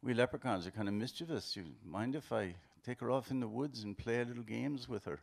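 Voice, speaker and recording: A man's voice: mid-range voice, brisk, creaky, voice pushed up. A man telling a joke aloud, voicing a mischievous, cheeky male leprechaun in a sly, teasing tone, at a table mic before a live audience. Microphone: table mic on a stand.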